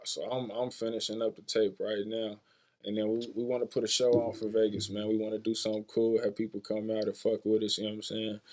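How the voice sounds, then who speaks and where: A man from Cincinnati, talking casually and excitably as a guest on a podcast. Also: lowish voice. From Cincinnati, Ohio. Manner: fast, flat and excitable, with frequent profanity. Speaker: guest rapper. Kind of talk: casual chat.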